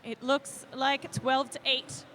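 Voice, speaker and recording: A woman giving play-by-play roller derby commentary with quick calls and playful banter, with a faint arena hum behind her. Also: high-pitched, flat delivery, bright, forceful tone. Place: leisure centre arena.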